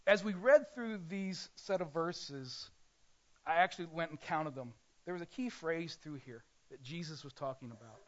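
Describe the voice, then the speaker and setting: A male pastor preaching a sermon in a conversational way, often pausing and correcting himself. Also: a little high, muffled recording.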